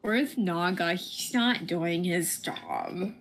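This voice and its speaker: A woman's voice: on the low side, takes it slowly, a little hiss or hum. A woman co-hosting a game livestream, chatting casually and playfully as she guides the play. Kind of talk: casual chat while guiding a game.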